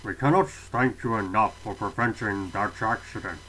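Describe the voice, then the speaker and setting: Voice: mid-range voice, moderate pace, fairly bright tone, breathy edge, rough recording. A performer voicing a dignified railway director who speaks formally and politely in a fan audio drama.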